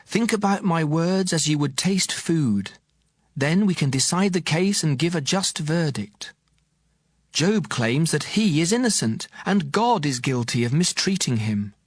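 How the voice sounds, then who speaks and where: Higher-pitched, earnest young man rebuking his elders. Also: dry recording, clean studio sound. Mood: firm, admonishing. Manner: emphatic, declamatory, admonishing. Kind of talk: dramatized audio Bible speech. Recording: music behind the voice.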